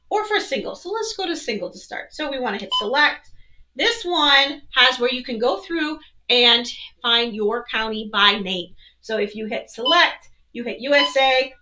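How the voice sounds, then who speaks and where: A woman's voice: a little high, dull audio. A woman presenting an instructional product walkthrough, explaining things step by step. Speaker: presenter, weather educator.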